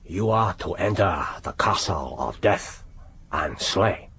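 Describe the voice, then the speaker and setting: An actor playing a commanding, wry older Japanese intelligence chief in a radio drama, with a Japanese accent and formal, deliberate phrasing. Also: male voice, sits low, animated, a bit bright, husky, exaggerated voice. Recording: noisy radio drama recording.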